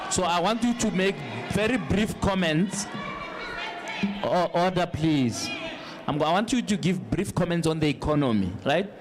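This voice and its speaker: A man's voice: high voice, animated, moderate pace, music in the background, crowd noise. A man firmly moderating a live political debate. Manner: firm, calling for order. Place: public town hall event. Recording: noisy live hall recording.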